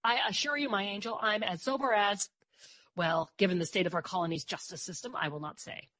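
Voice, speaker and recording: A woman's voice: mid-range voice, fairly quick, a bit bright, no room sound, natural voice. A woman voicing a roguish, hard-drinking newspaperman with florid, grandiose, teasing speech for an audiobook. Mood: flattering, tipsy. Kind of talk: audiobook character voice.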